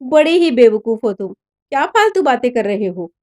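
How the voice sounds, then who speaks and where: A woman's voice: fairly high pitch, sing-song, normal speed, warm tone. A woman voicing an emotional, principled teenage schoolgirl in a story reading. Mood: amused scolding.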